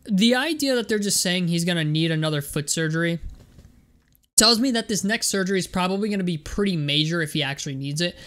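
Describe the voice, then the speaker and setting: A young man hosting a casual basketball vlog, his voice a little rough and strained. He rambles through his points with plenty of "um"s and stuttered restarts. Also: high-pitched, fairly bright tone. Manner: rambling, repeats words, many fillers. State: voice rough from medication. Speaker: sports vlogger.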